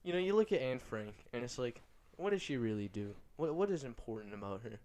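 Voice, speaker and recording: A man's voice: medium pitch, expressive, fairly quick, a little mellow. A man hosting a podcast, congested and nasal, talking in a loose, slangy, rambling way. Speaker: podcast host. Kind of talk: casual chat.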